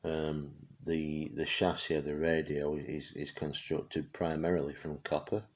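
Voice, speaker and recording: A man giving a casual, unscripted product demonstration, speaking haltingly as he searches for words. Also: extremely low pitch, little pitch movement. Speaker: presenter showing vintage radio gear.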